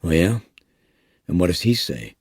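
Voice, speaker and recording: A man voicing a weary, guilt-ridden small-town police chief with a gruff manner. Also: deep voice, big pitch swings, moderate pace, no echo, studio quality, full character voice. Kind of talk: audiobook character reading.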